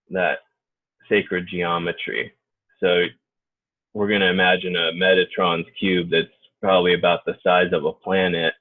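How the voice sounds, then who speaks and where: A man leading an unscripted guided group meditation in an informal, halting way. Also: low-pitched. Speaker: guided meditation leader and healer. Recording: muffled phone-like narrow-band audio.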